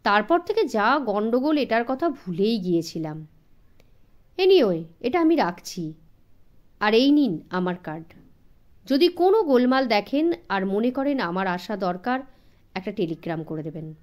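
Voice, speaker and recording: A woman voicing a polite, busy gentleman in an audiobook, with a conversational manner mixed with English phrases. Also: mid-range voice, sing-song, unhurried. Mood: brisk, courteous. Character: polite, busy gentleman, surprised and amiable businessman.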